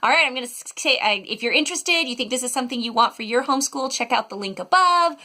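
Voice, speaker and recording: A woman's voice: high voice, lively intonation, fast, bright, forceful tone. A middle-aged American woman giving a casual, chatty video review with an enthusiastic, emphatic delivery. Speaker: homeschool mom reviewing educational resources.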